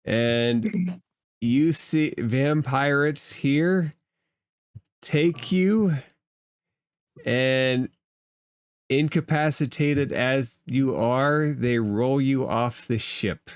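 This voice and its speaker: A man narrating a tabletop roleplaying game as game master, explaining rules and addressing the players in a measured way. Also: medium pitch, clear, resonant tone, dry recording, clean studio sound.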